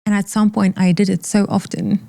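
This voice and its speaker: A woman's voice: mid-range voice, flat delivery, brisk, creaky, dark tone. A woman in her thirties, originally from Iran, speaking candidly and with emphatic repetition as an interview guest. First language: Persian.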